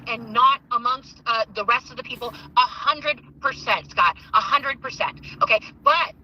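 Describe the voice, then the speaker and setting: A woman's voice: fairly high pitch, moderate pace, pushed and bright. A woman arguing confrontationally over the phone, exasperated and repeating her points for emphasis. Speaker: activist, former Scientology member. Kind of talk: heated phone argument. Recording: noisy phone line.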